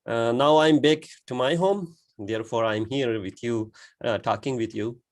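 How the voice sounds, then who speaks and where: A man's voice: mid-range voice, normal speed, a little hiss or hum. A man from Pakistan with a Pakistani accent, chatting on a video call from home, laughing often and hesitating with many uhs. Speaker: co-host and guest. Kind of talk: casual chat on a video call. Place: his home.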